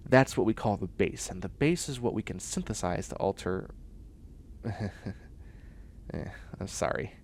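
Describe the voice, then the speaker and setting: A man performs a cocky, nerdy young bioengineer in a sci-fi comedy roleplay. His low voice swings widely in pitch as he chatters and makes snarky asides, with faint noise behind him. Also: lively intonation, a little slow, pauses often. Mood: eager, chatty. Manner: chatty, snarky, boastful, muttering asides and exclamations. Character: nerdy, self-assured young male bioengineering student in sci-fi future. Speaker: voice actor in audio roleplay. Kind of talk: comedic one-sided audio roleplay.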